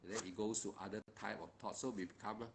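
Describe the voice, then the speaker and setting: Low-voiced man teaching meditation. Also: fairly even intonation, creaky, slight background noise. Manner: halting, repetitive, with many fillers and restarts. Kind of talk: live-streamed meditation teaching.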